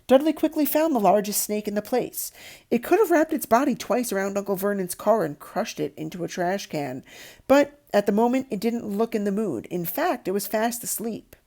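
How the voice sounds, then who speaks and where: Lady doing an audiobook read aloud, warm mellow tone, really animated pitch. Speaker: audiobook narrator. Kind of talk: expressive storybook read-aloud.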